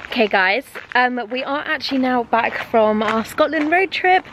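A woman's voice: on the high side, animated, normal speed, over loud music, poor audio. A young adult woman, chatting casually and informally to camera as a vlogger. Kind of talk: casual vlog chat to camera.

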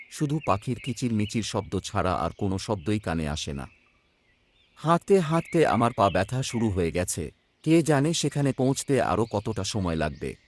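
A man's voice: fairly low pitch, a little mellow, a little hiss or hum. A man playing a poor, worried young village son, narrating his hardship in a scripted cartoon story. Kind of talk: first-person scripted horror cartoon narration.

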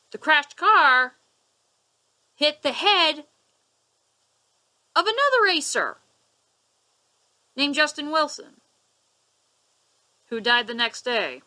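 A young woman vents into her webcam from her living room, sarcastic and indignant. Her voice is high, bright and edgy, and it leaps up and down as she hammers her point home. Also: sing-song, very slow, long pauses. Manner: indignant, sarcastic, gestures a lot. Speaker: vlogger. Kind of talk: ranting webcam vlog. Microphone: webcam at close range.

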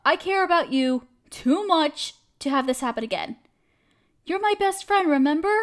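A woman acts out a protective, caring female best friend with a high voice. She speaks directly and intimately to one listener, as in a comfort roleplay. Her delivery is earnest and reassuring. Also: expressive, normal speed. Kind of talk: audio roleplay, scripted comforting monologue.

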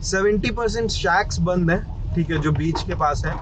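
A man's voice: sits high, normal speed. A man in his thirties with an Indian accent, chatting casually and informally to camera as a travel vlogger, often repeating himself for emphasis. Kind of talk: casual vlog chat mixing Hindi and English. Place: inside a car and on a rainy roadside. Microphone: camera at arm's length or on dashboard. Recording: noisy vehicle and outdoor ambience.

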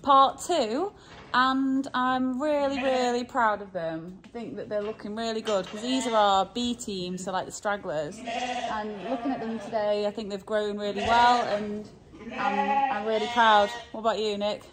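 A woman's voice: fairly high pitch, fairly even intonation, a little slow, over a noisy crowd. A woman, a sheep farmer narrating a casual farm vlog.

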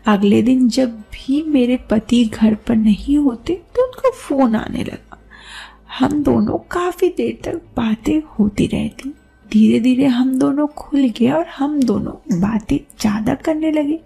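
A woman's voice: high voice, vocal fry, dark tone, husky. A woman narrating a scripted story in the first person, as if recounting her own experience.

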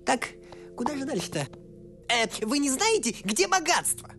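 High, vain, fast-talking cartoon horse. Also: male voice, very animated, a bit bright, soft background music, heavily acted. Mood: dreamy, weary. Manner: glib, self-important, theatrical. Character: vain, greedy, smooth-talking male talking horse. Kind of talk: animated film comedy acting.

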